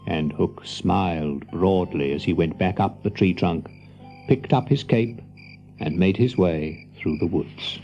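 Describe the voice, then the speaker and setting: A man narrating a scripted children's story dramatically, as an expressive, confiding storyteller. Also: low voice, unhurried, vocal fry, lo-fi sound, faint music behind.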